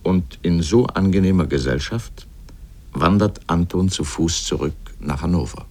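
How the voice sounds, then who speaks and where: Low-voiced man, sardonic radio essayist. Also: lively intonation, normal speed, darkish tone. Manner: sardonic, pointed commentary. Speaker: radio essay narrator, commentator. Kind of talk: scripted literary radio essay.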